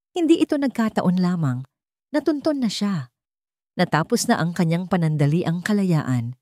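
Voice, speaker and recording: A woman narrating a scripted audio drama in a measured, suspenseful storytelling manner. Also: low voice, dark tone, dry recording, clean studio sound.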